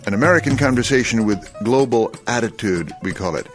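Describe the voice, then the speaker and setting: A man's voice: mid-range voice, lively intonation, fairly quick. Male radio interviewer with a mid-range voice and a wordy, polished delivery, music playing faintly underneath. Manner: eloquent, wordy questioning. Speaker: radio host.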